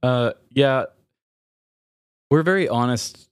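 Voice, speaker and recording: A man hosting a podcast, talking candidly and personally in a hesitant, halting way that often interrupts itself. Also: mid-range voice, clean tone, no echo, studio quality. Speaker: podcast host.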